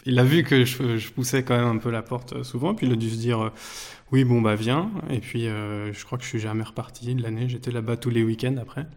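A young man in his twenties chatting as an interview guest. He talks at an even, middling pitch for a man, hesitating often and restarting his sentences as he searches for words. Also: brisk. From France. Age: 20s. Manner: hesitant, many restarts and fillers. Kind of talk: casual interview.

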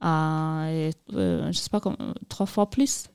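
A woman talks on a radio interview with a warm, mellow tone. Her delivery is hesitant and full of fillers and restarts. The studio sound is dry and close. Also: sits low, very animated, unhurried, smooth, dry recording. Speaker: radio guest, youth program presenter.

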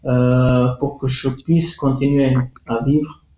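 A middle-aged man with a Kurdish accent, a former journalist, speaks in an interview with halting pauses and many restarts. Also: mid-range voice, level tone, dark tone, reverberant, poor audio. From Iraqi Kurdistan. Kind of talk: testimony interview.

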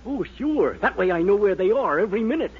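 Crude, cocky male sitter, high and swooping, flippant slang, old radio comedy. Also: lively intonation, fast. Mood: cocky, unfazed. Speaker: comic radio actor. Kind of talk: 1940s radio comedy acting. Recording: very noisy, dull old radio recording.

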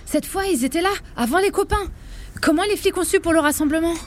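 A woman's voice: extremely high pitch, a little fast. An actress playing a feisty, foul-mouthed young woman protester, indignant and slangy, in a radio fiction. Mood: agitated, indignant. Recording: noisy street ambience behind.

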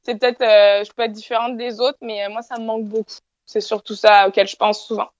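A woman's voice: fairly high pitch, level tone, fairly quick, lo-fi sound. A young woman from France, chatting casually as an interview guest from her apartment, with many fillers and restarts.

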